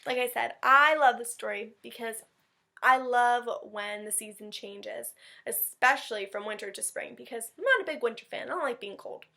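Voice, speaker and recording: A woman with a fairly high, noticeably creaky voice leads a read-aloud for schoolchildren. She moves casually between storytelling and simple, chatty explanations aimed at young listeners. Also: moderate pace, bright, forceful tone. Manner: explains and comments in simple words for children. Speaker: teacher reading aloud.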